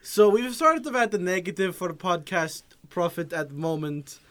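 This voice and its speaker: A man playing a boastful foreign comedian guest on a podcast, speaking broken English with grandiose deadpan self-promotion. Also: high voice, moderate pace. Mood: pompous. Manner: broken English, dropping articles. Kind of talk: improvised comedy podcast bit.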